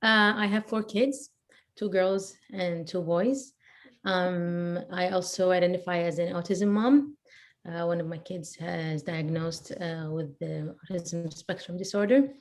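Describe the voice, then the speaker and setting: Brief: Iraqi-born woman, 30s, low-pitched, relaxed and chatty with frequent laughs, as an interview guest. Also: a little slow. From Iraq. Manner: thoughtful, laughs easily, many fillers. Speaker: guest, education counselor and photographer. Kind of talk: casual interview chat.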